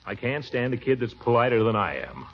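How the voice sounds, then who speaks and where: A man's voice: mid-range voice, big pitch swings, fairly quick, close to an everyday voice. A man playing a pompous, blustering middle-aged uncle in 1940s radio sitcom acting. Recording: dull 1940s radio broadcast with faint hiss.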